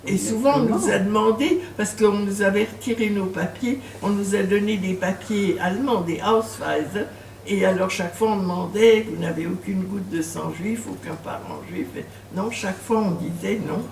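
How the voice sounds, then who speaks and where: An older woman recalls her past with halting, filler-laden speech and bursts of laughter. She is picked up from a distance in a reverberant bookshop. A faint hum of noise sits under her voice. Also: mid-range voice, gravelly, a little mellow. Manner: hesitant, many fillers, laughs when telling stories. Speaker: author and guest. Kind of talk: bookshop talk with audience.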